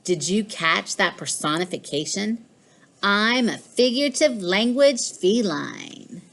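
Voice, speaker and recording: A woman's voice: mid-range voice, animated, slow pace, a bit bright. A woman voicing a smug, mischievous pet cat in a storybook, with a boastful, emphatic delivery. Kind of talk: storybook read-aloud.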